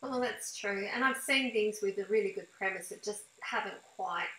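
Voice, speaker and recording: A woman's voice: medium pitch, moderate pace, sharp tone. A middle-aged woman interviewing a guest in a casual, conversational way over a video call. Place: small room with blinds, indoors. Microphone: video call, computer microphone. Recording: echoey room on video call.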